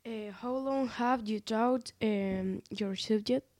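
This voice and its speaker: A woman's voice: fairly high pitch, slow, dark tone. A woman student interviewer hesitantly reading out prepared questions.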